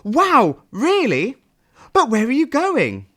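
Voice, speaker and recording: A man voicing a tiny, helpless young snail in a storybook, grateful and excitable. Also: very high-pitched, takes it slowly, pitched above the performer's own voice, exaggerated voice. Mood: ecstatic.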